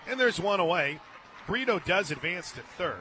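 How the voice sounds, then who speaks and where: A man doing live play-by-play softball commentary on the radio, calling each play in short clipped phrases. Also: high voice, animated, moderate pace. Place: outdoor ballpark radio booth. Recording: outdoor crowd noise behind the voice.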